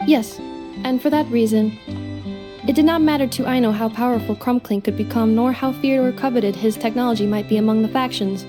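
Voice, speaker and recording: A woman voicing a calm, formal robot companion. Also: on the high side, over music, natural voice. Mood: earnest. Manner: measured, formal, slightly mechanical phrasing. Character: gentle female robot companion, calm and thoughtful. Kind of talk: video game voice acting.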